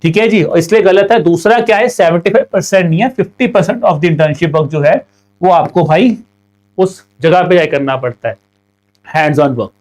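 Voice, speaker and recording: A young Indian man with a sore throat, teaching an online exam prep class. He mixes Hindi and English, reads each question slowly, then explains the answer fast. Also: high-pitched, darkish tone.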